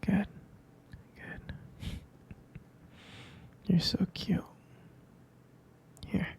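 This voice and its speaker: A performer playing a tender, doting partner at bedtime in an intimate ASMR roleplay, in a breathy, gravelly whisper with a halting, affectionate delivery. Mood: caring, soothing. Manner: slow, hushed, halting, affectionate.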